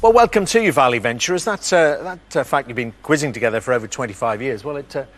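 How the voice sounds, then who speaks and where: A man hosting a television quiz show in a studio, friendly and bantering, reading questions with measured pauses. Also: mid-range voice, expressive, a bit bright, slight background noise.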